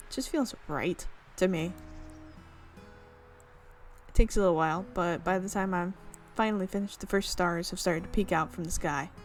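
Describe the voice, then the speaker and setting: A young woman reading a visual novel aloud and tossing in casual, chatty comments and giggles. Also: mid-range voice. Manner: casual, chatty asides and giggles between narration. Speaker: let's play streamer.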